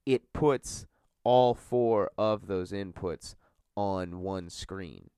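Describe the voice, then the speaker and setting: Congested man with a smooth low voice. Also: drawn-out words, dark tone, dry recording, studio quality. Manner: rambling, filler-heavy storytelling. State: congested from a cold. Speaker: podcast host. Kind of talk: casual podcast chat.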